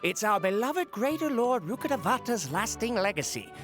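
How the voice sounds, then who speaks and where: A woman's voice: a little high, big pitch swings, moderate pace, music in the background. A woman playing a courteous, official city gatekeeper in a video game, speaking in a formal, polite, explanatory way.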